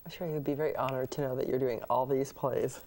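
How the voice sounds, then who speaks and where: A woman hosting a casual interview, chatty and quick to chime in with encouragement. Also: extremely low pitch, fairly even intonation, mellow. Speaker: talk show host.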